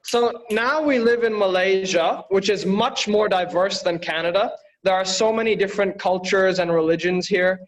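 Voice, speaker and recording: A high-voiced man performs stand-up from afar over a video call. He delivers his jokes in a dry, deadpan way. He grew up in Bangladesh. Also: normal speed, hardly any pauses, poor audio. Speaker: stand-up comedian.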